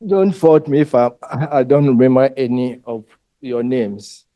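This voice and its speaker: A man with a Ghanaian English accent gives a relaxed lecture to a room of candidates. His voice is mellow and his pace slow. He talks conversationally and often pauses with ums. The recording is dry and close. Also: medium pitch, dry recording. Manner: unhurried, conversational, trails off with ums.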